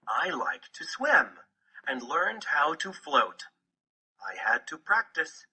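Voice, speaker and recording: Male picture-book narrator, slow and deliberate, with lively pitch swings. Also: slightly rough. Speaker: audiobook narrator.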